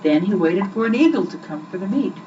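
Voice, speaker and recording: A young woman reading a children's story aloud with expressive, scripted narration, on an old amateur recording. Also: mid-range voice, brisk, recorded from a distance. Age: young adult. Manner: expressive, acts out the dialogue. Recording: muffled, noisy old amateur home recording.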